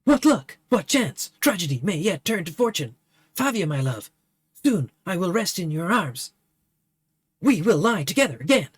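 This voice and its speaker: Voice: medium pitch, big pitch swings, moderate pace, slightly rough, no room sound, studio quality. A reader playing Burnett, a lovestruck swordsman in a stage tragedy, declaiming in bombastic, archaic speech. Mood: feverish. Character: grief-stricken lovestruck swordsman, theatrical.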